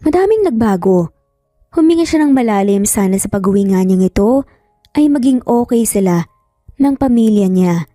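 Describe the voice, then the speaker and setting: A Filipino woman narrates a romance drama in a high-pitched, mellow voice. She reads from a script as a storyteller. The sound is roomy, with faint music underneath. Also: animated, moderate pace, clean studio sound.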